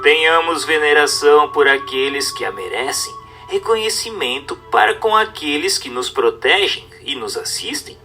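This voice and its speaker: A man narrates a formal religious text over background music. His voice is bright, with widely moving intonation. The delivery is solemn and instructive, clearly read from a script. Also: on the high side.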